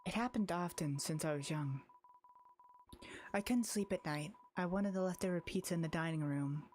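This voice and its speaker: A woman's voice: lowish voice, darkish tone, a little hiss or hum, close to an everyday voice. A woman voicing an anxious, lonely young girl in a visual novel, in an earnest, wistful inner monologue. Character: anxious, lonely young girl narrating her haunted thoughts. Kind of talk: visual novel read-aloud. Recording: music behind the voice.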